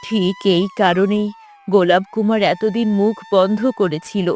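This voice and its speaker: A woman's voice: medium pitch, steady tone, a little slow, darkish tone, faint music behind, lightly acted. A woman voicing a sorrowful, protective mother serpent queen in a fairy-tale cartoon, explaining things solemnly. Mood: solemn, confessing.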